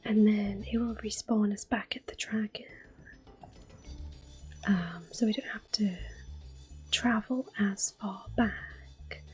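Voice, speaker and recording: A woman whispering soft-spoken commentary while she plays a video game for an ASMR audience, close to the microphone. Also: mid-range voice, husky. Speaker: ASMR gaming host.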